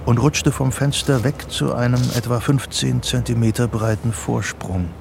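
An actor narrating a psychological thriller radio play as a sober storyteller. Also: male voice, medium pitch, steady tone, moderate pace, vocal fry, background noise, close to an everyday voice. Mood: sober, suspenseful. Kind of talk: scripted literary narration.

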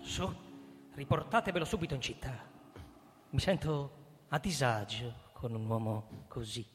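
An actor playing a cold, pompous retired army chief, an older man speaking formally and deliberately in a radio drama. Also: mid-range voice, lightly acted. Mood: cold, dismissive. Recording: echoey hall, music underneath. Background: music.

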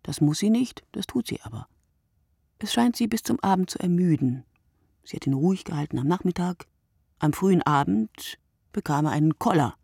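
A woman playing a brooding, solitary writer in a radio play monologue, speaking deliberately and poetically, often dropping to a breathy hush. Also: lowish voice, expressive, dry recording, studio quality, exaggerated voice. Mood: hushed, reflective. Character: wakeful solitary night writer, a woman, brooding and word-obsessed.

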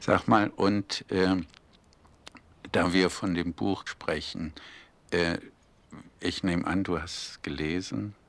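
A man interviewing and narrating for a radio documentary, asking halting, searching questions. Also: low voice, fairly even intonation, gravelly.

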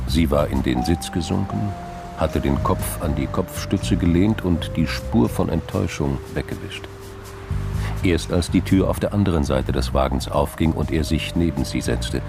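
A male narrator reads literary crime-drama prose. His voice is extremely low with a gravelly fry. Music plays behind him.

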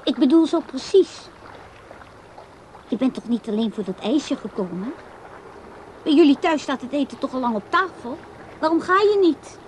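A woman's voice: high voice, expressive, moderate pace, long pauses, darkish tone, slight background noise. An actress playing a teasing, blunt young woman in a radio drama, probing and needling.

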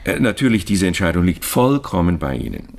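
Very deep, gravelly, obsequious banker. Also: male voice, big pitch swings, fairly quick, full character voice. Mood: smooth, discreet. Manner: hesitant, formal, fawningly polite. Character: discreet, obsequious male Swiss banker, courteous and evasive. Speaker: bank official. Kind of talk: radio crime drama dialogue.

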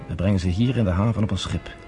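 An actor playing a confident, courteous underground leader in a radio play, speaking in a measured, formal way. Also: male voice, low voice, dark tone, soft background music, lightly acted. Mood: calm, scheming. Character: confident, persuasive underground leader, former army captain, courteous.

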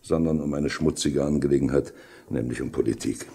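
An actor playing a grave, blunt man in an old German radio crime drama, with a husky voice. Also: rumbling low, a little fast, warm tone, heavily acted.

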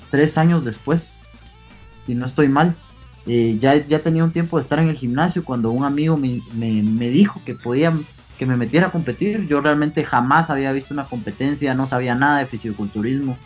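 Husky young Guatemalan man, earnest. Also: mid-range voice, darkish tone, dull audio. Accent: Guatemalan Spanish. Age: 20s. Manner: earnest, pauses with many fillers. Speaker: guest athlete. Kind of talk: interview answers.

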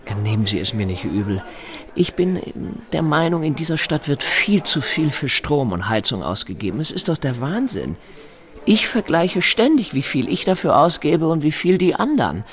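An actor playing an insistent, menacing snoop with a grudge, in a naturalistic radio drama, formally polite and full of pointed questions. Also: male voice, fairly high pitch, very animated, moderate pace. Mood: needling, falsely polite. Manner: formal address, pointed rhetorical questions, needling. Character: insistent, menacing snooping man with a grudge against big shots.

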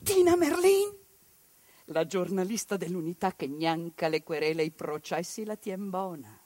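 A woman voicing a mocking older village woman who speaks in Venetian dialect, in a high, sharp voice. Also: expressive, moderate pace, dry recording. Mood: scornful. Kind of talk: theatre monologue on radio.

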